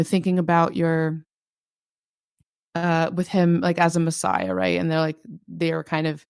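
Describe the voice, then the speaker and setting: A woman with a low voice, a podcast guest talking casually and reflectively, thinking aloud with frequent filler words. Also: gravelly, darkish tone, no echo, studio quality.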